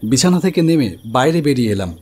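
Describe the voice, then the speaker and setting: An actor playing an anxious idol-maker who recounts a horror story in a dramatic, expressive first-person narration. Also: male voice, mid-range voice, fairly quick, husky. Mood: uneasy, brooding. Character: anxious male idol-maker recounting his ghostly encounter. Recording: studio voice over music bed.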